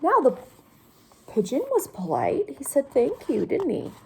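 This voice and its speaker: Slow, high-voiced woman reading to children. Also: huge pitch swings, creaky, background noise. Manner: simple explanations, frequent questions to listeners. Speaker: teacher reading aloud to children. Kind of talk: picture book read-aloud with asides and questions to children.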